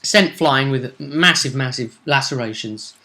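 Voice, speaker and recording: A man's voice: medium pitch, a little slow, pushed and bright. A man talking casually as a game master, recapping tabletop role-play in hesitant storytelling fragments.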